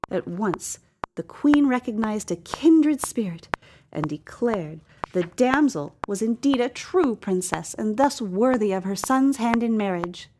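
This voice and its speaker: A woman's voice: on the high side, expressive, unhurried. Wry woman narrating a mock-epic storybook tale. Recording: clear background noise.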